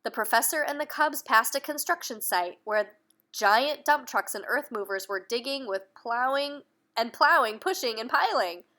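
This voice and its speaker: A woman reading a children's story aloud in an animated manner, pausing to put questions to young listeners. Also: a little high. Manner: animated, addresses children directly. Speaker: picture-book reader.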